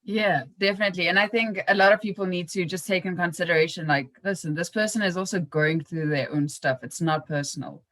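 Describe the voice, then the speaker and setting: A young woman with a slightly low voice chats casually as a podcast host. She is informal and anecdotal, and the sound is dry with no room echo. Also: fairly quick, hardly any pauses, a bit bright. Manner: informal, acts out remembered remarks.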